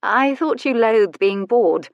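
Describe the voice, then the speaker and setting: A woman's voice: fairly high pitch, animated, slow, no echo, dull audio, pitched above the performer's own voice. A woman acts out a worldly young widow and courtesan from a romance storybook. She is cool, bold and unflappable, with playful wit. The recording is close and dry. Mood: wry.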